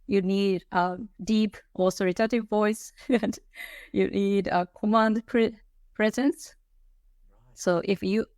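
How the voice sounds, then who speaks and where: A woman's voice: mid-range voice, darkish tone, no room sound, clean studio sound. A Japanese woman whose first language is Japanese, chatting as a podcast guest, hesitant and restarting her phrases with light laughs. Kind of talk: casual conversation. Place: Melbourne, Australia.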